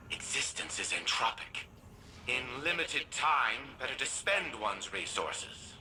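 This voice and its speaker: Cold arrogant genius man, very sharp bright voice, pompous game monologue, slight noise. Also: medium pitch, rough recording. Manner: pompous, pronouncing in aphorisms. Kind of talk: video game cutscene monologue.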